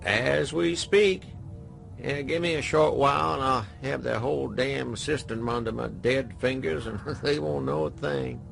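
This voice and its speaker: A man playing a sardonic dead old hacker cowboy in a radio drama, gravelly and folksy. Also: mid-range voice, steady tone, a little slow, a bit bright, faint music behind. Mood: gleeful. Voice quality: gravelly, creaky.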